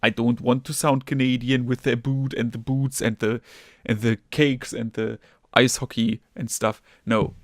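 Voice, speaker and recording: A man's voice: mid-range voice, little pitch movement, normal speed, no echo. A young man from Germany with a German accent, hosting a casual gaming podcast, rambling with many fillers, restarts and casual swearing. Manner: rambling, lots of fillers and self-corrections. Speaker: podcast host.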